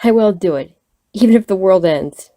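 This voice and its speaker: A woman's voice: mid-range voice, animated, normal speed, mellow, natural voice. A woman playing an eager, daring young female shapeshifting agent in an audio story.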